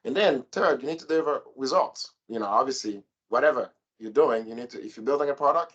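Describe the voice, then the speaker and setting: A man lays out his points in a methodical order, like a seasoned manager briefing a team. He speaks at a middle pitch over a video call. The audio comes through slightly dull and muffled. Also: a bit bright. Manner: structured, methodical explaining. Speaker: program manager panelist. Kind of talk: explanatory panel answer.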